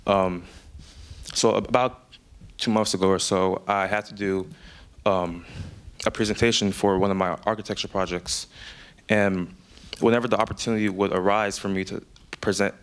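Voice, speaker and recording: Young male student, low even voice, stutters with repeats and fillers; sincere prepared speech. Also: normal speed, smooth. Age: young adult. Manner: stutters audibly with repetitions and fillers. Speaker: student speaker. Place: event speech.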